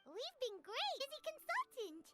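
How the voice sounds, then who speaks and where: Squeaky, tiny excitable creature. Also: female voice, a little slow, over loud music, full character voice. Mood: excited, cheerful. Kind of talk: video game voice acting.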